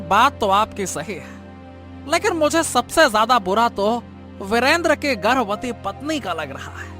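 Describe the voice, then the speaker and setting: A performer acting as a deferential male minister consoling his king in a cartoon. The voice is bright and very animated in pitch, earnest and emphatic, with faint music underneath.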